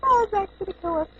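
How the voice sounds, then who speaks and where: Woman playing a giggly deformed plushie boy, very high and slow, muffled home-camcorder sound. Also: very noisy, full character voice. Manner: giggling, playful and hammy. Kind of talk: home video play-acting. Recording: dull lo-fi camcorder audio.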